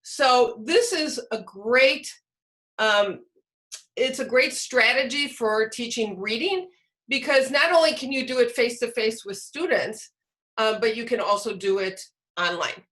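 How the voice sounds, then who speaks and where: Higher-pitched woman presenting a workshop. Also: unhurried, a bit bright. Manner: explanatory, poses questions to listeners. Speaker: workshop presenter and teacher trainer. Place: online video call.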